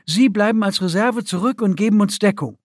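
A man playing a stern, high-voiced female commander. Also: fairly quick, darkish tone, dry recording, studio quality. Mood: commanding. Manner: clipped, authoritative orders. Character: Thora, stern commanding female alien expedition leader.

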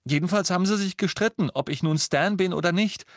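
A man's voice: on the high side, expressive, a little slow, fairly bright tone, dry recording, clean studio sound, voice pushed up. A man voicing a defensive, agitated teenage boy in an audiobook, insistent and earnest as he pleads his case. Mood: explaining. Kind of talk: audiobook character voice.

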